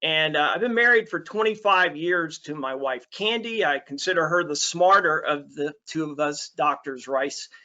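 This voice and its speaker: A man's voice: a little high, normal speed, hardly any pauses, sharp tone, dull audio. A middle-aged man from Alabama giving an online basketball coaching talk, friendly and full of fillers. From Alabama, USA. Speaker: basketball coach presenting a webinar. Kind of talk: online presentation.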